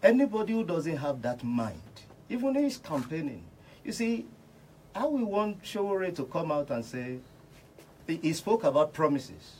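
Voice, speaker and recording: A man's voice: on the high side, big pitch swings, moderate pace, a little mellow, a little hiss or hum, poor audio. A man with a Nigerian accent debates politics as a radio guest, oratorical and insistent, often repeating phrases. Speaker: radio panel guest, reverend. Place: radio station.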